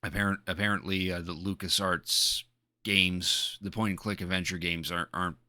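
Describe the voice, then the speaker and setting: A man chatting casually as a live streamer, speaking in a hesitant, halting way and often repeating his words. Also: low-pitched, steady tone, bright, forceful tone, no echo, studio quality.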